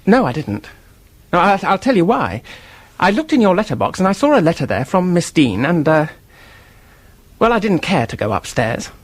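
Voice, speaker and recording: An actor playing an earnest, defensive office worker in a radio mystery, husky-voiced, hesitant and blurting, with a temper underneath. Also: male voice, high voice, very animated, moderate pace, dry recording. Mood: bitter, confessing. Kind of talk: radio drama acting, detective mystery.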